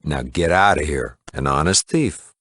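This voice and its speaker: An actor playing a gruff, plain-spoken small-town mayor and shopkeeper in a video game, a tough but fair lawman with a gravelly voice and folksy, firm manner. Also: rumbling low, a little slow, fairly bright tone, dry recording, studio quality, heavily acted. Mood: stern, threatening. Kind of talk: video game character dialogue.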